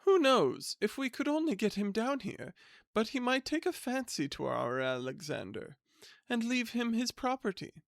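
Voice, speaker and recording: A man's voice: very high-pitched, big pitch swings, normal speed, vocal fry, a bit bright, no echo, studio quality, voice pushed up, heavily acted. A man voicing an eager, scheming middle-aged wife in a raised voice.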